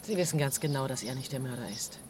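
A woman's voice: very deep voice, expressive, fast, a little mellow, a little hiss or hum. An actress playing a blunt, persistent woman journalist with a direct manner in a German radio crime drama. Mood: confrontational. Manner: direct, probing. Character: blunt, fed-up, persistent female investigative journalist.

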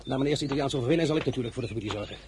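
A man playing a smooth ambitious young general. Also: mid-range voice, rapid-fire, noisy background, close to an everyday voice. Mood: persuasive. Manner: courteous, coaxing and self-assured. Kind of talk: 1950s Dutch radio drama acting.

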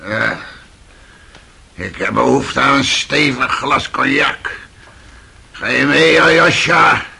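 An actor playing a coarse, bossy old father in a radio drama, hard-drinking and mocking, with a gravelly, raspy voice. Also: mid-range voice, takes it slowly, long pauses, sharp tone, a little hiss or hum, exaggerated voice. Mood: gruff, impatient. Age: older. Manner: blustering, mocking.

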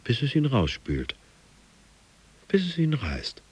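An actor playing a driven, boastful gym fanatic in a radio drama monologue, intense and theatrical. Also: male voice, mid-range voice, huge pitch swings, slow, background noise. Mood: dreamy, exalted. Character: driven, boastful male gym fanatic dreaming of his boss and America.

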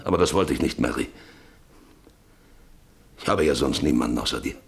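A man's voice: extremely low pitch, lively intonation, moderate pace, vocal fry, heavily acted. A man acting a troubled, domineering rancher and father in a German radio drama, curt and insistent. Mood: remorseful, shaken.

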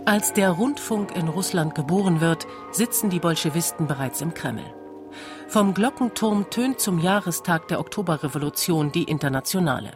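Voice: medium pitch, lively intonation, moderate pace, over music. A narrator reading a scripted radio documentary.

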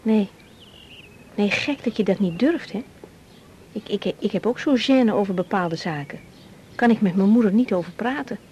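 Actress as mellow, hesitant young mother. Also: medium pitch, normal speed, pauses often, dull audio, a little hiss or hum, lightly acted. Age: young adult. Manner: hesitant, repeats words. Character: young adoptive mother, tender, hesitant, guilt-ridden. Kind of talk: 1980s radio drama acting.

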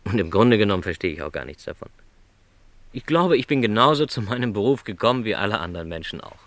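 A middle-aged travelling salesman in a radio play, with a low voice and lively intonation. He is lonely yet content, and speaks in a modest, reflective, courteous way. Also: fast, no room sound. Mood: pensive, resigned.

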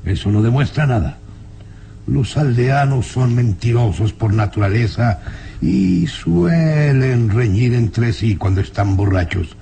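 A man's voice: mid-range voice, takes it slowly, vocal fry, mellow. An actor playing a haughty, hot-tempered baron and stern father in a radio drama, speaking in an imperious, commanding way. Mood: stern, scornful. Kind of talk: old radio drama acting. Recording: noisy old radio serial recording.

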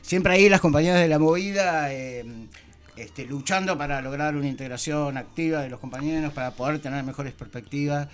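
A man with a Rioplatense Argentine accent, hosting a casual radio chat in a halting way, rephrasing as he goes. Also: fairly high pitch, faint music behind. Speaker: radio programme host.

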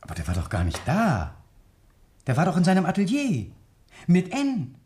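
Earnest village vicar, expressively inflected. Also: male voice, sits high, huge pitch swings, moderate pace, darkish tone. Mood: puzzled. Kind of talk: radio drama acting.

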